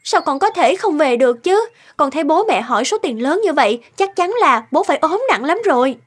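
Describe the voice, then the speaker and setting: High-pitched, fretful cartoon mother. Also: animated, a little fast. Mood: worried, reproachful. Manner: insistent, fretful, questioning. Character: anxious, forceful adult daughter and mother. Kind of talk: cartoon dialogue acting.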